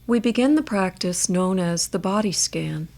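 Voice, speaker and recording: A woman guiding a meditation in a measured, gentle way, leaving space between her phrases. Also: lowish voice, lively intonation. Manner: measured, gentle instruction with long pauses. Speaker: meditation guide. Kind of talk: guided body scan meditation.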